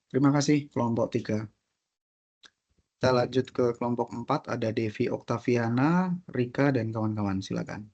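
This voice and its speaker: Indonesian guy lecturer running online class, kinda raspy, talks fast, muffled sound. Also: medium pitch, a little mellow. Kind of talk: informal class moderation. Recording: dull lo-fi online class audio.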